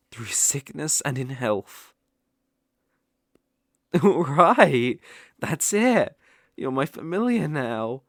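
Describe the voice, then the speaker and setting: A man's voice: medium pitch, no echo, studio quality. Man acting a possessive, lilting British fox spirit. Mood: coaxing, sly, then overjoyed. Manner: coaxing, slow, with eager flustered outbursts. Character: possessive, sly, lovestruck male fox-spirit mage. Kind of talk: ASMR audio roleplay.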